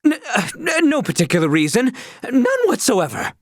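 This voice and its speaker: An actor playing a devoted, earnest young knight in a video game, speaking in formal, archaic courtly language. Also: male voice, extremely high pitch, huge pitch swings, normal speed, no room sound, studio quality, full character voice. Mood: flustered, eager.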